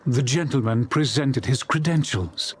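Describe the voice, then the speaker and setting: A man narrating a dramatized classic story audiobook with expressive, scripted delivery. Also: mid-range voice, unhurried, noisy background.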